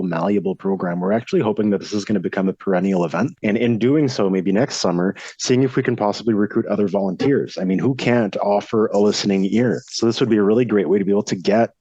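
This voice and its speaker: A man's voice: fairly low pitch, dark tone, husky, no echo, lo-fi sound. A man who is a psychology student volunteer, talking enthusiastically and at length in an interview. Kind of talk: interview answers. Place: Winnipeg.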